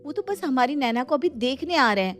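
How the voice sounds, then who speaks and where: A woman puts on a sensible young daughter-in-law in a cartoon family tale. Her voice is high and lively as she reasons and protests, with music behind. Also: fairly quick. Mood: exasperated. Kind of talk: animated Hindi moral story acting.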